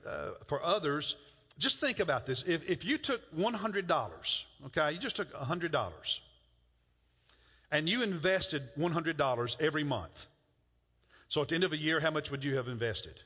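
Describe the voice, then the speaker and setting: Middle-aged pastor preaching, kinda high voice, stumbles and repeats words, echoey sanctuary. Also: male voice, pushed and bright, breathy edge. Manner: conversational, repeats words when emphasizing. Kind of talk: sermon. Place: church sanctuary. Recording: muffled church hall with long reverb.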